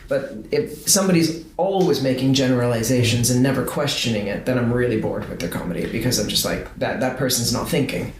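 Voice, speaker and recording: A man's voice: mid-range voice, quick pace, few pauses. A man talking as a guest on a podcast in casual conversation, chatty and thinking aloud. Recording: roomy sound with faint background noise.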